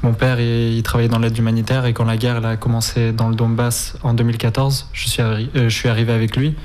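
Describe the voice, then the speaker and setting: Even-toned young man, hesitant and plain-spoken. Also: medium pitch, fast talker, a little hiss or hum. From Ukraine, of Spanish nationality. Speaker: interview guest, volunteer and documentary maker. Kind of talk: informal spoken interview answers.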